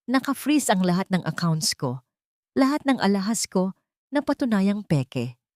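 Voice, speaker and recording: A woman's voice: mid-range voice, big pitch swings, unhurried, darkish tone, no echo, studio quality. A woman voicing a ruined rich man, broken and remorseful, in an audio drama story. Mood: broken, desperate. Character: ruined, regretful rich man begging helplessly.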